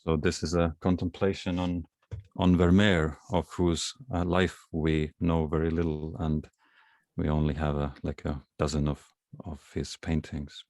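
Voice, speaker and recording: A man with an Estonian accent, Estonian his first language, reading his poems aloud as a guest, measured and hesitant between poems. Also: extremely low pitch, little pitch movement, darkish tone, dry recording, clean studio sound. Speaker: guest poet. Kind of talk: poetry reading.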